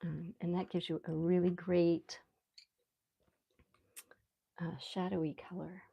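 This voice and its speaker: A woman with a relaxed voice chats casually on a live stream as she draws, rambling unhurriedly and thinking aloud. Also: on the low side, slow, gravelly, dull audio. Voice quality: relaxed, soft-edged voice. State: relaxed, mildly sedated after anesthetic. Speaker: live stream artist host. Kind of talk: casual live stream chat while drawing.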